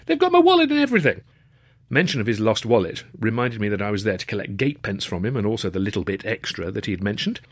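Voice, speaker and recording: A man with an English accent reading a first-person story in a wry, dryly humorous way, slightly husky. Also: on the low side, fast talker, few pauses, no echo, clean studio sound. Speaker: author reading his own novel.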